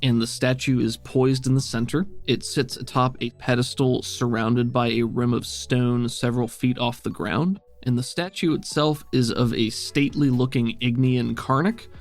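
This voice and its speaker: A man narrating a tabletop game as game master, in a measured, evocative storytelling manner. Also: medium pitch, fairly even intonation, soft background music.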